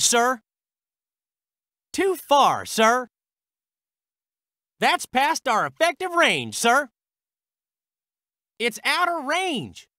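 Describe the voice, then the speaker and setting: A man's voice: extremely high pitch, bright, forceful tone, dry recording, clean studio sound, exaggerated voice. An actor, a man, voicing a US Army artillery crewman in a war video game, with an American accent and clipped military phrases. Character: high-strung male US Army artillery crewman. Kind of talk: military game unit barks.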